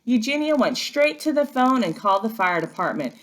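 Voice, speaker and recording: A woman working as a first-grade teacher, giving an expressive, instructive read-aloud lesson for young children. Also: on the high side, fairly quick, rough recording.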